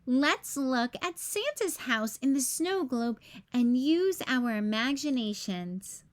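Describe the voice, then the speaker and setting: A woman's voice: high-pitched, expressive, slow. A woman voicing a cheerful, friendly male dinosaur in a children's storybook, encouraging and exclamatory.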